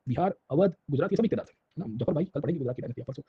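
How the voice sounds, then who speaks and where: Male Indian-accented history teacher, fairly high-pitched, informal exam-prep lecturing with repeated check-ins, slightly muffled lo-fi recording. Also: lively intonation, rapid-fire, breathy edge. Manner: repetitive check-in tags, addressing listeners as sir; colloquial, illustrating points with little acted anecdotes.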